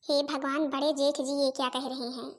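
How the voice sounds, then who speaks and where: Casting: woman acting as a very high-voiced cartoon housewife, put-upon, pleading and talkative. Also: little pitch movement, normal speed. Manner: complaining, pleading, addresses relatives and viewers directly. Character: weary, gossipy Indian daughters-in-law in a family cartoon. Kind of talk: Hindi family comedy cartoon voice acting.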